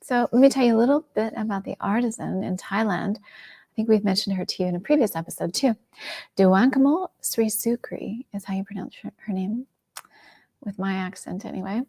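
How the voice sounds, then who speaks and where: A middle-aged woman hosting a shopping livestream, chatting enthusiastically and dropping into soft asides. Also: mid-range voice, fairly quick, vocal fry, breathy edge. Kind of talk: casual product presentation.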